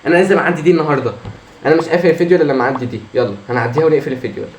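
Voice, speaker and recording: A hoarse man with an Egyptian Arabic accent, commenting on his own gameplay, muttering encouragement to himself and breaking into frustrated outbursts. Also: a little high, very fast. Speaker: gamer. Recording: echoey room with faint noise.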